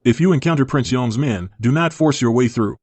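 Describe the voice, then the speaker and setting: An actor playing a guarded, authoritative young prince of imperial China in a dubbed period drama. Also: male voice, mid-range voice, brisk, darkish tone, no echo, close to an everyday voice. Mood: commanding, urgent. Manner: curt, authoritative orders.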